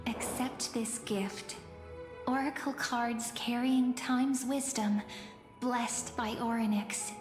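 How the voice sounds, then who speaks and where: A woman playing a gentle young oracle girl in a fantasy game, speaking in a poetic, measured way. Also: fairly high pitch. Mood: tender, ceremonial. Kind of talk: dramatic fantasy game voice acting. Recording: echoing reverb, prominent music underneath.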